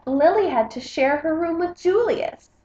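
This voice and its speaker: A woman's voice: very high-pitched, animated, unhurried, dark tone, a little hiss or hum. A woman book vlogger reading a picture book aloud in a casual, chatty style. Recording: slightly dull, roomy home recording.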